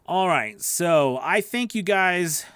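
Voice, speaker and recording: A man's voice: sits high, lively intonation, takes it slowly, fairly bright tone, clear, resonant tone, dry recording, clean studio sound. A man coaching voice-over talent in a casual livestream Q&A, rambling and correcting himself as he goes. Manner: rambling, self-correcting, lots of you know. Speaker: voice-over coach answering live questions.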